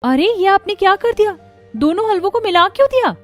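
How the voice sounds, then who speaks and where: A woman voicing a proud, jealous young daughter-in-law in an animated family story, arguing emphatically. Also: extremely high pitch, brisk, soft background music. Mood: indignant. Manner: emphatic, argumentative.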